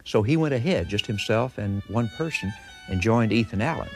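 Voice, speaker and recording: Storico americano enfatico, voce piuttosto bassa. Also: male voice, expressive, moderate pace, soft background music. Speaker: history expert interviewee. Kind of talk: documentary interview, storytelling.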